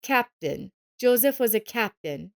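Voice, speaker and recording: A woman's voice: on the high side, sing-song, unhurried, creaky, pitched above the performer's own voice, heavily acted. A woman voicing a sneering, condescending middle-aged ex-wife with a mocking, haughty manner in an audio story.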